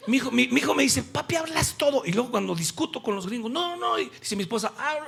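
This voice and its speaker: Husky, high-voiced Mexican preacher man. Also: lively intonation, quick pace, fairly bright tone, faint music behind. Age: middle-aged or older. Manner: emphatic, conversational, humorous, acts out quoted dialogue. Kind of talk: animated sermon with anecdotes and Bible quotations.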